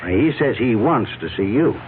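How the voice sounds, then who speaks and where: An actor playing a formal, cautious attorney who speaks in a measured, deliberate way, in a 1970s radio drama. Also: male voice, mid-range voice, lively intonation, lightly acted. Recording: tinny lo-fi old radio broadcast with faint hiss.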